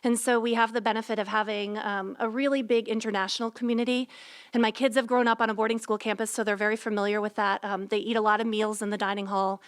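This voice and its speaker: A woman in her forties, a residential life director, welcoming visitors at a school panel with many pauses and ums. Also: medium pitch, little pitch movement, clear, resonant tone. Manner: many filler ums, warm conversational. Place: school dorm common room.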